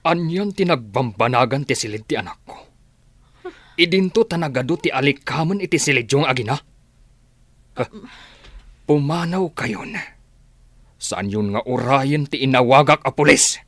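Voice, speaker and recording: A man's voice: medium pitch, animated, moderate pace, pauses often, a bit bright, no room sound. An actor with an Ilocano accent playing a stern, protective father in a radio drama, confronting and commanding. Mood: angry, commanding. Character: stern protective father ordering people out of his house.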